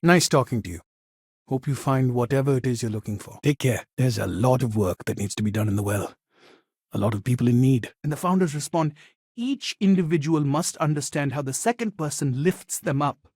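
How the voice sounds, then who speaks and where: Earnest sci-fi game counselor, male, really varied pitch, slightly husky, very dry close sound. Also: expressive, brisk, a little mellow, no echo. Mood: calm, earnest. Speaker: video game character. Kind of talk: video game dialogue, conversational.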